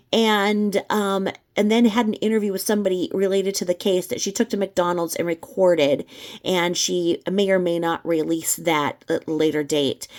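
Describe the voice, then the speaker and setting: A woman's voice: fairly low pitch, darkish tone. A woman reacting to a video with critical, disapproving commentary.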